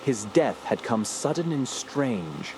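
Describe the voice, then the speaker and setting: An actor playing a dread-filled investigator, narrating in the first person with solemn, literary drama for a radio play. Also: male voice, medium pitch, very animated, slow pace. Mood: somber, dread. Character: grave, dread-filled male investigator recounting his findings. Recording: clear background ambience noise.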